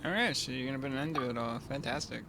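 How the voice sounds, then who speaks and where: Casual playthrough commentator: middle-low speaking voice, wry and laid-back, with light noise behind. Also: fast. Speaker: gamer.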